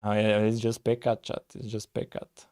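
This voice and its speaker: A man, talking casually on a live coding stream, thinking aloud with frequent pauses and "uh"s. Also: on the low side, monotone, darkish tone. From Bulgaria. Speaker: live-streaming game developer.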